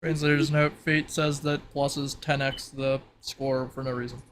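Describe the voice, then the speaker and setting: A man's voice: medium pitch, level tone, moderate pace, a bit bright, slight background noise. A man running a tabletop roleplaying game as game master, casually explaining rules in a conversational way.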